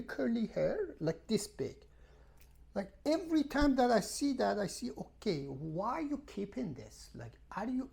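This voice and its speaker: A woman's voice: mid-range voice, gravelly, warm tone. A woman who is a non-native English speaker, ranting casually to camera as a travel vlogger in an emphatic, repetitive, exasperated way. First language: not English. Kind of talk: casual rambling rant to camera.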